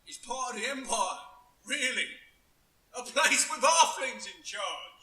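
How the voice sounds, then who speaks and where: A man's voice: very high voice, animated, measured pace, bright, forceful tone, reverberant, heavily acted. A man voicing an incredulous, chummy common fellow griping in a fantasy character demo. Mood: amused disbelief. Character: incredulous common man mocking halfling rule.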